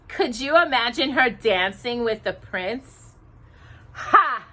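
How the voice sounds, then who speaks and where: A woman's voice: a little high, animated, slow pace, sharp tone, voice pushed up, full character voice. A woman voicing a spoiled young stepsister in a storybook, whiny, theatrical and sneering. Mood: mocking.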